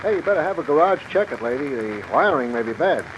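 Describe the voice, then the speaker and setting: A man's voice: a little high, big pitch swings, fast, crowd noise. Friendly offhand repair guy, 1950s radio play, dull noisy sound. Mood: easygoing. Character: casual, helpful telephone repairman. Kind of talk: 1950s radio drama acting. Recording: muffled noisy vintage radio, rain behind.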